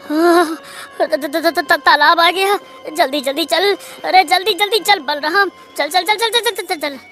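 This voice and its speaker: A woman's voice: very high-pitched, unhurried, pushed and bright, soft background music, full character voice. A woman voicing a frightened, stammering village man in a kids' cartoon story. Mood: panicked. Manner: panicky stammering.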